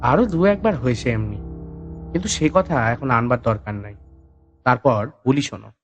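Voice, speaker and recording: Actor as boastful, animated rural Bengali folk healer. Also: male voice, medium pitch, moderate pace, faint music behind, natural voice. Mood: confiding. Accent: rural Bengali dialect. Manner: colloquial, boastful, emphatic. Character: boastful folk-healer man, older, chatty, superstitious, proud. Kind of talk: audio story character dialogue.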